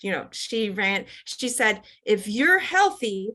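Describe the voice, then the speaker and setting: Middle-aged woman, interview guest; loose, chatty delivery full of fillers, even middle pitch. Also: slow pace. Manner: chatty, many fillers and restarts, laughs often. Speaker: guest, company executive. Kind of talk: casual interview.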